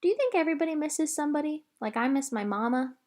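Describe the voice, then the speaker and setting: Woman voicing an expressive, earnest young girl. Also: high-pitched, fast, voice pushed up. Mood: wistful. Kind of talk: children's audiobook character voice.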